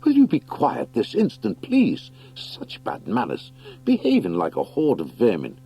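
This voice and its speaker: Prim young female hare, played by a male narrator, bossy and scolding, pitched well up, for animal fantasy audiobook. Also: huge pitch swings, measured pace, vocal fry, dark tone, a little hiss or hum, exaggerated voice, rough recording. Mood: severe scolding.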